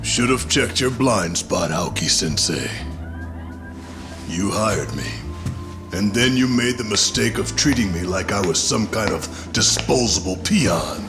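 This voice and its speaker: An actor playing a cocky, nonchalant hired impostor in a video game, with laconic delivery. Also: male voice, fairly low pitch, lively intonation, measured pace, over music. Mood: smug. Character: cocky, unhurried hired impostor, adult man. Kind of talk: English video game voice acting.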